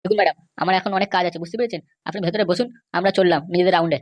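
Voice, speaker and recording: A woman's voice: on the low side, racing, lightly acted. A woman voicing a sly, insistent male schemer and constable in an amateur comedy cartoon. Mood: dismissive, insistent.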